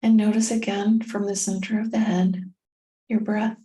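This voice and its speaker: A woman leading a guided meditation in a soothing, gentle manner, leaving long pauses between short phrases. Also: medium pitch, level tone. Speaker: meditation guide and tapping coach.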